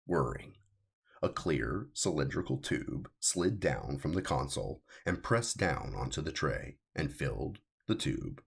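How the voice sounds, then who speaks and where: A man narrates science fiction in an extremely low voice. It is full of gravelly fry and husky grain. His reading is measured and deliberate, with lively swings in pitch for emphasis. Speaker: author reading his own story.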